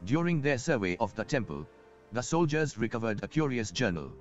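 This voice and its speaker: A man's voice: mid-range voice, sing-song, brisk, close to an everyday voice. Man acting a courtly merchant, muffled, over music. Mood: composed, businesslike. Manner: formal, eloquent, archaic phrasing. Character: courtly, self-assured wealthy merchant, risen from poverty. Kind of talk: scripted video game dialogue. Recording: muffled game audio with background music.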